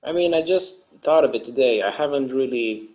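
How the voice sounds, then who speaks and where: A man's voice: medium pitch, moderate pace, lightly acted. Man acting an easygoing husband, slightly muffled recording. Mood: musing, eager. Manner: casual, musing, agreeable. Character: easygoing, imaginative husband who enjoys inventing games. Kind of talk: table read of a stage play, natural conversational acting.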